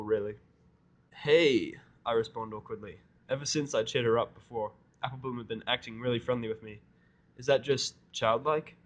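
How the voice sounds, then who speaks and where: A male narrator reads a first-person fan story in a mid-pitched voice. His telling is sardonic, with a grumbling edge.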